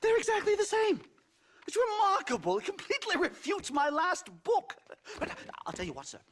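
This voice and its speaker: An actor playing a pompous, excitable academic professor in a video game, stammering and condescending as he talks. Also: very high voice, moderate pace, heavily acted. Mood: manic enthusiasm. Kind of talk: theatrical video game acting.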